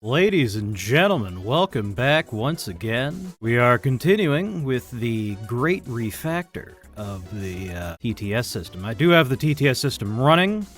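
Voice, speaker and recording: A man's voice: medium pitch, sing-song, a little slow, hardly any pauses. Man live-streaming code over keygen music. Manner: sweary, informal muttering to himself. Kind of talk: casual live coding commentary. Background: chiptune-style keygen music.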